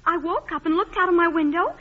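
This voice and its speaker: An actress playing an eager, tattling little girl in old-time radio drama, telling things in a wide-eyed, drawn-out way. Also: very high-pitched. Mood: gossipy. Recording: muffled, noisy vintage radio broadcast recording.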